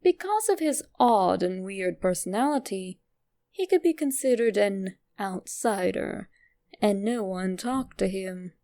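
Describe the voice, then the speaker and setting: A woman reading a scripted narration, with a creaky edge to her voice. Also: mid-range voice, lively intonation, unhurried, dark tone. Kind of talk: scripted narration of a fan theory.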